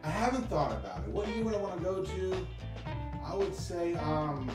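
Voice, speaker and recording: A man's voice: high-pitched, lively intonation, normal speed, roomy sound, over music. A man co-hosting a podcast, quizzing his co-host in a jokey, teasing way. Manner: jokey, teasing, loud asides.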